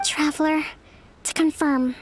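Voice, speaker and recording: High-voiced, composed female army leader. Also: steady tone. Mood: resolute. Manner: formal, measured, authoritative. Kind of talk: anime game voice acting.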